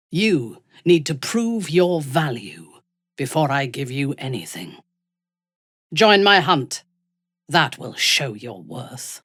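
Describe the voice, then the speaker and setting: An actress voicing a ruthless, haughty female warrior commander in a video game, with a husky, breathy voice and an imperious, menacing delivery. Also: lowish voice, no room sound, clean studio sound, exaggerated voice. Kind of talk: dramatic video game voice acting.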